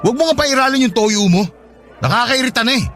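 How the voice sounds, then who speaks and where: A man's voice: very high voice, animated, quick pace, a bit bright, faint music behind. A man playing an irritable, evasive boyfriend in an audio drama, defensive and exasperated. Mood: defensive, irritated. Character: irritable, evasive young boyfriend making excuses.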